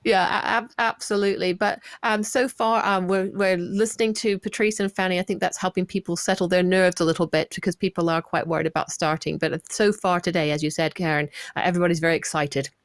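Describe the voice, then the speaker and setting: Woman co-host on a live stream, lively chat roundup, dry close sound. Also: lowish voice, a little fast, few pauses, no room sound. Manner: lively, laughs easily, reports chat comments.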